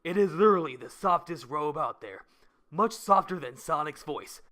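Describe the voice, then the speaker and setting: A man voicing a proud, boastful cartoon hedgehog with a pompous, formal, deadpan delivery. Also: a little high, normal speed. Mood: smug, dry.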